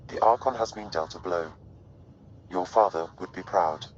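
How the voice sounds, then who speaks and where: A man as a low-voiced, calm advisor. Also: normal speed, muffled recording, a little hiss or hum, lightly acted. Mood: measured reassurance. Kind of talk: video game cutscene acting.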